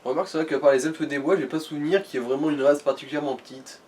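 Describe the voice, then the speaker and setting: A man's voice: on the high side, fairly even intonation, very fast, darkish tone, far from the mic. A man co-hosting a let's play, chatting casually in crude joking slang and reading game menus aloud. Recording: off-mic, noticeable room noise.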